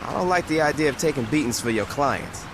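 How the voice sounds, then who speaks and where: A man performs a young street gang boss for a video game, cocky and blunt. His mid-pitched voice moves around with animation, full of casual slang and swearing. A faint noise sits under the recording. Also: lively intonation, brisk, close to an everyday voice. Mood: dry, sardonic. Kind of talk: video game cutscene acting.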